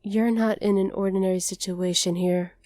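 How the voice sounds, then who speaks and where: A woman voicing a haughty, possessive dragoness captor in an ASMR roleplay, speaking to the listener in a condescending, deliberate way. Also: medium pitch, fairly even intonation, mellow, no room sound, clean studio sound, close to an everyday voice. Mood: condescending, coaxing.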